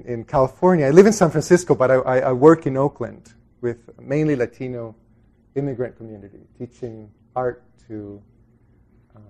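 A man's voice: mid-range voice, dark tone. A middle-aged man from El Salvador giving an informal dharma talk to a group, conversational and reflective, often trailing off into asides. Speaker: Buddhist meditation teacher.

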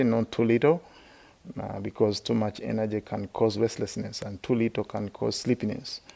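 A middle-aged man from Uganda, a Buddhist monk, giving a halting, explanatory dharma talk with many fillers at a meditation retreat. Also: fairly low pitch, creaky, warm tone. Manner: laughs while telling stories.

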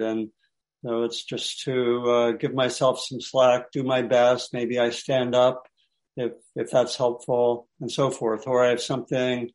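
Male meditation teacher, mid-pitched and unhurried, speaking in short, spaced phrases of gentle instruction. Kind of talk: lightly guided meditation instruction.

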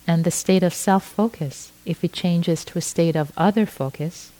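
A middle-aged woman from Iran, a native Farsi speaker, giving a gentle dharma talk as a meditation teacher, often restarting her phrases. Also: fairly low pitch, low-quality recording. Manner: slow, gentle, with frequent restarts.

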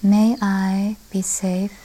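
A woman meditation teacher leading a guided meditation in a gentle, unhurried way with long pauses. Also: medium pitch, little pitch movement. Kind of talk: guided loving-kindness meditation.

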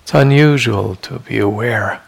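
A man teaching a guided meditation in a meditation hall, speaking in measured short phrases with long pauses and a husky, gravelly voice. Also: mid-range voice.

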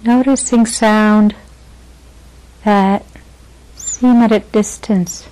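Slow, gentle woman guiding meditation. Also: mid-range voice, fairly even intonation, clean tone, low-quality recording. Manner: gentle, unhurried, with long pauses between short phrases. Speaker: meditation teacher. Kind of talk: guided meditation.

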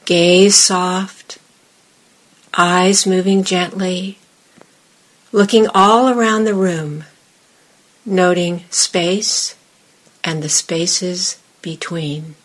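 Slow, low-pitched woman guiding meditation. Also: fairly even intonation, low-quality recording. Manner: measured, with long pauses between short phrases. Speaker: meditation teacher. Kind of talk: guided meditation.

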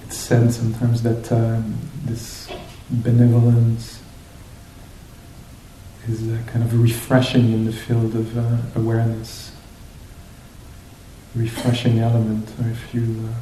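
A man teaching meditation to a group, speaking reflectively and off the cuff, with hesitant pauses between short phrases. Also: dark tone. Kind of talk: guided meditation talk, unscripted and reflective. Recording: roomy echo, faint noise with low rumble.

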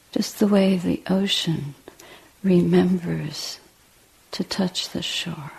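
Husky, slow woman guiding meditation. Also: fairly low pitch, rough recording. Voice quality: husky, breathy, often near whisper. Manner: hushed, deliberate, short phrases with long pauses. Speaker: meditation teacher. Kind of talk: guided meditation.

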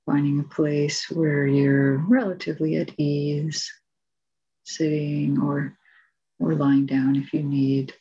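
Woman, meditation guide, unhurried pace, soft mellow tone, calm, long pauses, dull lo-fi sound. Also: low-pitched, husky. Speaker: meditation teacher.

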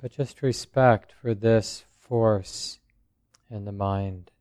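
A man who is a meditation teacher, speaking or chanting slowly and with measured, long pauses. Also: lowish voice, fairly even intonation, a little mellow, no room sound, studio quality. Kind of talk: slow chanting and guided meditation talk. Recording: dull, muffled recording.

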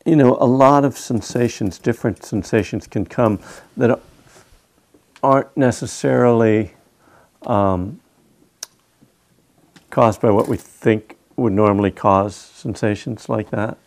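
A man's voice: fairly low pitch, steady tone, slow pace. A man leading a meditation group's question time, easygoing and wry, with many fillers. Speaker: meditation teacher. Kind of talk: relaxed Q&A guidance. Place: meditation hall.

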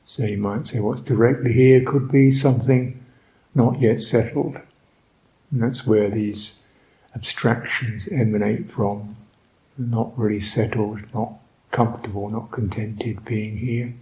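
A man guiding a meditation as a Buddhist teacher, speaking reflectively in short, halting phrases with long pauses. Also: lowish voice, fairly even intonation, dark tone, highs cut off. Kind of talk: guided meditation, unscripted and reflective.

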